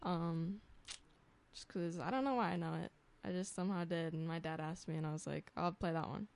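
A woman's voice: lowish voice, a little fast, creaky, darkish tone. A young woman musician being interviewed on the radio, speaking hesitantly with many fillers. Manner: hesitant, many ums and likes. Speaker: guest musician. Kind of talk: casual interview. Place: radio studio.

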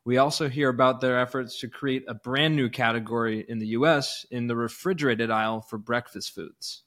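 Male co-host, average pitch, enthusiastic podcast intros and interview questions. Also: steady tone, moderate pace, a bit bright.

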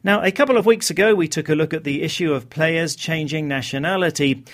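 A man's voice: on the high side, a little fast. Male radio football show presenter.

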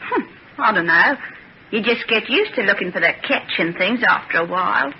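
A woman's voice: medium pitch, expressive, normal speed, close to an everyday voice. Woman acting wary female crook, slangy, blunt, suspicious, very varied intonation, radio serial, dull noisy old recording. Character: wary, streetwise young woman thief on the run.

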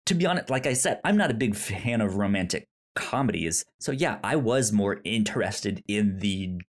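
A podcast co-host in casual film discussion, speaking haltingly and often stammering on repeated syllables. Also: medium pitch, lively intonation.

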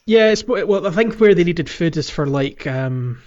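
A man's voice: on the high side, expressive, moderate pace, a little mellow. A British man co-hosting a podcast, chatting casually about games in a loose, rambling way. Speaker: podcast host. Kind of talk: casual game discussion and chat. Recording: slightly dull, lo-fi audio.

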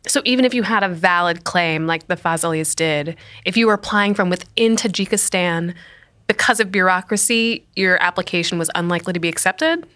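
A woman's voice: on the low side, brisk, bright, forceful tone. Woman interviewer asking probing questions. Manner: inquisitive, prompting follow-up questions.

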